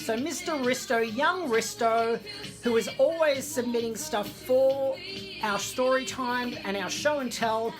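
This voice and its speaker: Slow, high-pitched theatrical woman host over music. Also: low-quality recording. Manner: theatrical, gushing, addresses audience as darlings. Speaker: host of an online children's story time. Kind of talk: chatty show and tell to viewers. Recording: music playing in the background. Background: background disco music.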